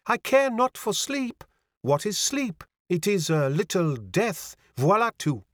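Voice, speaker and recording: Man as a spirited young French lady, dreamy and theatrical, mincing accent, high lilting pitch, storybook reading. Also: very animated, slow, fairly bright tone, dry recording, clean studio sound, exaggerated voice. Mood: exuberant, dreamy. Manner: mincing, exclaiming, poetic.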